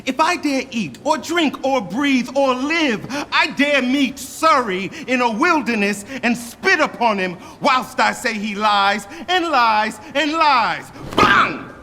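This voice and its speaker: A scornful lord in Shakespearean radio drama. He declaims in a very high, sharp voice with emphatic taunts. There is long reverb and some ambient noise. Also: lively intonation, takes it slowly, exaggerated voice. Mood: scornful defiance. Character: scornful, taunting young lord hurling accusations.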